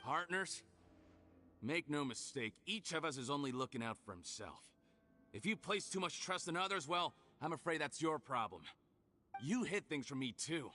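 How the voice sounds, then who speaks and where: A man's voice: on the high side, animated, normal speed, bright, forceful tone, soft background music. A man playing a cynical, self-serving escaped convict in a video game, talking in a sardonic, condescending manner. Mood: defensive, cold. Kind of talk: video game dialogue acting.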